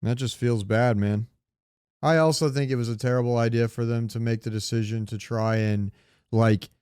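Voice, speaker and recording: A man co-hosting a podcast in casual chat, haltingly thinking aloud as he talks. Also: mid-range voice, a little mellow, clear, resonant tone, dry recording, clean studio sound. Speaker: podcast co-host.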